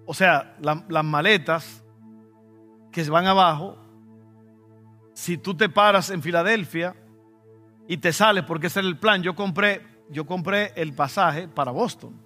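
A man's voice: fairly high pitch, a little slow, pauses often, bright, forceful tone, soft background music. A male pastor with a Dominican Spanish accent, preaching a conversational sermon with personal stories and sudden emphatic bursts. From Dominican Republic.

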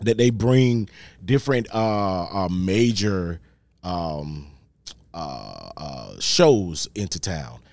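A man's voice: low voice, lively intonation, takes it slowly, creaky, a little mellow, no echo. A man in his thirties, an acting coach, chatting casually and joking on a podcast. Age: 30s. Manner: laid-back, joking, says bro a lot.

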